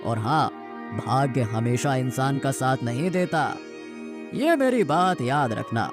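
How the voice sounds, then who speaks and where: Man acting old village father, lively high-set voice, scolding, weary farmer, cartoon storytelling, music behind. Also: takes it slowly. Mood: solemn, warning. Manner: exhorting, admonishing. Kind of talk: animated moral story dialogue. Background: background music.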